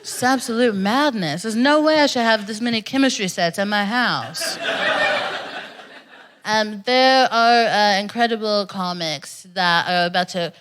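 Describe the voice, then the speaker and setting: A woman's voice: medium pitch, unhurried, a bit bright, smooth. A woman hosting a stand-up comedy night, frank and crude in a chatty way as she hypes up the crowd. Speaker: host. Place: comedy club stage before a live audience.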